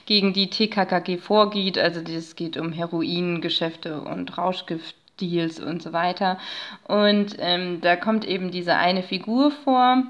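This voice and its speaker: A woman's voice: on the low side, fairly even intonation, a little slow, lo-fi sound. A woman speaking as a podcast guest and researcher, explaining things in a conversational, academic way with tentative phrasing.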